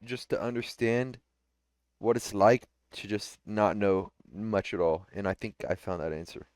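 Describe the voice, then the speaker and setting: A man trading mocking, deadpan taunts on a voice call. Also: on the low side, measured pace, dry recording. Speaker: streamer. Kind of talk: trolling banter on a voice call.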